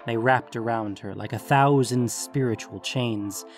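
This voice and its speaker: A man's voice: medium pitch, a little slow, faint music behind. A man acting as narrator, reading scripted fantasy fiction.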